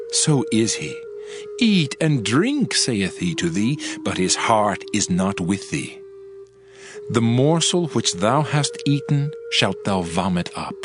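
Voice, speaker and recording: A man narrating a dramatized audio Bible in a solemn, formal, instructive way. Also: on the low side. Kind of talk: dramatized scripture reading. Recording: loud background music under the voice. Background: background music.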